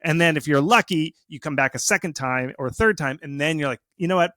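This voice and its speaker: A man giving casual, animated explanations as an expert guest in an interview, speaking informally and with emphasis. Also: fairly high pitch, quick pace, dry recording, clean studio sound. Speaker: video game marketing expert, interview guest.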